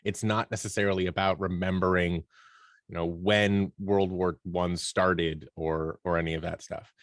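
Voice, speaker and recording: A man with a lowish voice speaks in a casual interview style. The sound is dry, with little room in it. He explains things in an animated, conversational manner, as a guest would. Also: a little slow, no echo. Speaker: podcast guest, audio drama producer and performer.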